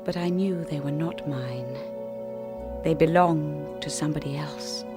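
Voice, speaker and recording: An actress playing a timid, unsure young wife in a radio drama, soft and hesitant as she narrates and converses. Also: low voice, measured pace, over music. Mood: wistful.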